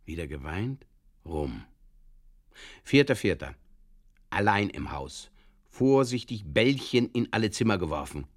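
A man playing a despairing drinker who reads out absurd diary entries in terse, deadpan fragments, in a comic audio drama. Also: low voice, measured pace, long pauses, full character voice. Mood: glum deadpan.

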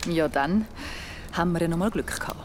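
A woman's voice: lowish voice, a little fast, heavy background noise, lightly acted. A woman playing a chatty, teasing, bookish young friend with a Swiss accent in an audio drama. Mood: uneasy. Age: young adult. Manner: chatty, casual.

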